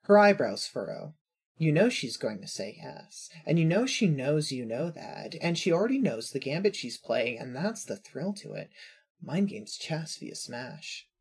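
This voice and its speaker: A narrator reading second-person fan fiction in a husky voice. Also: mid-range voice, very animated, measured pace.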